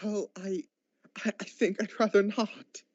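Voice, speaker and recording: A man's voice: sits high, flat delivery, unhurried, no room sound. A teenage male actor playing an anxious, grumbling old miser in a radio drama, stammering and repeating his words nervously. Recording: dull lo-fi amateur recording.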